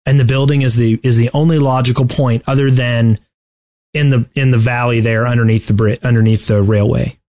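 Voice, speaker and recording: A man hosting a podcast, casually discussing video game strategy in a halting, deliberate way. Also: mid-range voice, fairly even intonation, a little mellow. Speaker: podcast host.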